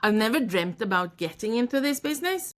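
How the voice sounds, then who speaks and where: A woman's voice: a little high, brisk. Norwegian-accented woman telling her story. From Norway. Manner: frequent restarts and self-corrections, animated when quoting. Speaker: guest, knitwear designer. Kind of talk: conversational interview storytelling.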